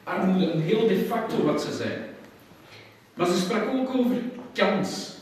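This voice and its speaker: A man moderating an event, his voice a little higher than most men's. He improvises loosely and hops between languages, and he is heard from a distance in a strongly echoing hall. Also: animated, unhurried, a little hiss or hum. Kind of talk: impromptu introduction. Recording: echoey hall from far off.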